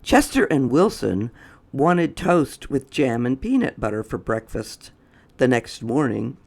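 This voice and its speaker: Slow, very animated storybook reader, male. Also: medium pitch, vocal fry. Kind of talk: children's storybook read-aloud.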